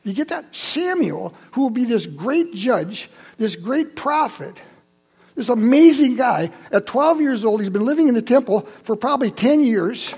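Gravelly-voiced older man telling church stories. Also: very high voice, expressive, measured pace, a little mellow. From Holland, Michigan. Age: 70s. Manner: conversational, chatty asides to congregation. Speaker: church speaker. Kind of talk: personal sermon storytelling.

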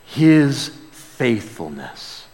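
A man's voice: medium pitch, very animated, extremely slow, darkish tone. A man preaching a conversational sermon as a pastor, earnest and exclamatory, often repeating phrases for emphasis. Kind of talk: sermon, conversational Bible teaching with call and response. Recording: long hall reverb of a large room.

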